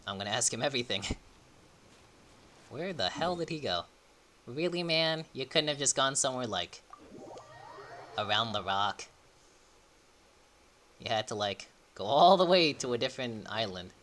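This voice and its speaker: Animated male game streamer. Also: mid-range voice, measured pace, long pauses. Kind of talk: casual live gameplay commentary.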